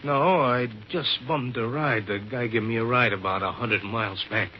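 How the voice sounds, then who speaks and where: A man's voice: medium pitch, moderate pace, lightly acted. An American man acting a jumpy, evasive working-class hitchhiker in a 1950s radio drama, with slangy speech. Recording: dull, slightly noisy old radio recording.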